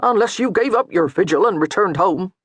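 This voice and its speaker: A man's voice: high voice, expressive, a little fast, a bit bright, slightly rough, dry recording, heavily acted. A man voicing a patient, dutiful medieval steward who speaks earnestly. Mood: admitting.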